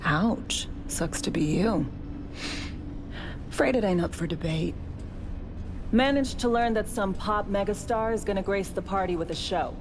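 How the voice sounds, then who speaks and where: A woman's voice: medium pitch, measured pace, long pauses, background noise, close to an everyday voice. A woman playing a breezy, cocky spy in a video game, briefing her team in a casual, slangy, playful way. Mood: teasing, breezy. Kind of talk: video game cutscene acting. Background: music.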